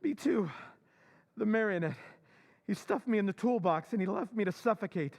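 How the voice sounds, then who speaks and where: A man playing a desperate husband in an old-time radio drama recreation, talking in an urgent, hurried way. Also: sits high, gravelly, darkish tone. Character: desperate middle-aged husband Walter.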